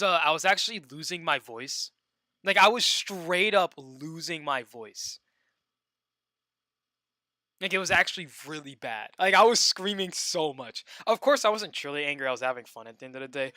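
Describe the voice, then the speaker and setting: A young man streaming a video game, joking and excitable, swinging between loud outbursts and low muttering. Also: no room sound, studio quality. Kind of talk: casual gameplay commentary.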